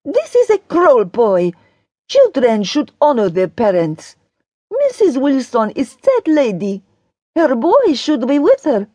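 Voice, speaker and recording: A woman's voice: high voice, very animated, slow pace, mellow, dry recording, pitched above the performer's own voice, full character voice. A woman voicing an earnest, severe foreign mother in an audiobook, with a foreign accent and clipped, formal non-native phrasing.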